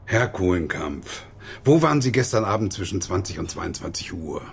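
An actor playing a dry, sardonic police inspector who questions people formally in a German radio crime drama. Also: male voice, low voice, very animated, moderate pace, vocal fry, slightly rough, heavily acted. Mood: stern, dry.

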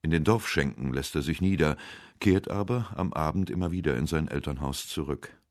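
A man's voice: rumbling low, normal speed, no echo, studio quality. A man reading scripted narration for a radio essay.

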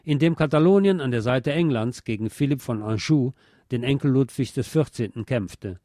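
A man's voice: medium pitch, warm tone, dry recording, studio quality. A man hosting a radio programme in speech, reading scripted historical narration aloud. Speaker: radio host.

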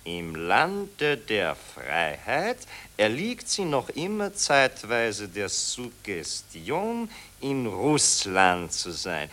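An actor playing a pompous, bullying newspaper editor in a 1940s German radio play. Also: male voice, on the low side, huge pitch swings, drawn-out words, fairly bright tone, exaggerated voice. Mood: pompous dictating. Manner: pompous dictation switching to hectoring threats. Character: bullying, insinuating male Viennese newspaper editor dictating his story.

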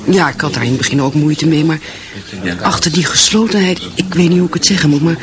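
A man's voice: a little high, fast, a crowd in the background, close to an everyday voice. An actor in a radio drama playing an earnest, dutiful wife and mother, hesitant, searching and sincere. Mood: wistful, confiding.

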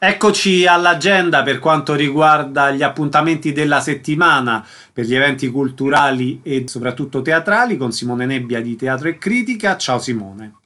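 A man's voice: mid-range voice, moderate pace, few pauses, sharp tone. A man hosting a radio programme, reading out announcements and introducing guests. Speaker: radio host. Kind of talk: scripted announcements and interview.

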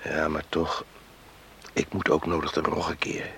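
A drowsy young farm man on a hissy old radio recording. His voice is extremely low and gravelly, and he sounds reluctant and hesitant. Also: level tone, normal speed, bright, forceful tone, heavily acted. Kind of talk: radio drama acting.

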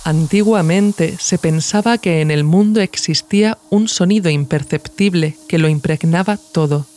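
A woman with an accent from Spain narrates a documentary essay. She reads from a script in a formal register, keeping a measured and reflective tone as a podcast author would. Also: on the low side, mellow.

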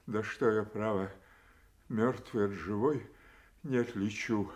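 An actor playing an old king broken by grief, speaking slowly and swinging between hushed despair and anguished cries in a classic radio play. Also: fairly low pitch. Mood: stunned grief. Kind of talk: classic stage radio acting.

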